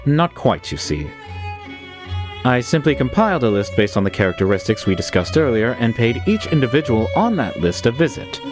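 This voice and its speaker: A man's voice: mid-range voice, very animated, a little fast, natural voice. A man playing a formal, composed and devoted butler in an anime-style manga dub. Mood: polite, smug. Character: suave, unflappable male demon butler, formal and devoted. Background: music.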